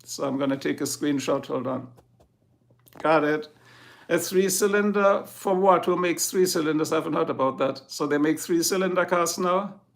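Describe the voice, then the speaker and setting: A man's voice: a little high, moderate pace, vocal fry. A middle-aged man with a German accent, chatting casually with viewers on his livestream and answering their comments. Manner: chatty, answers viewers one by one, chuckles often. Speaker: livestream host.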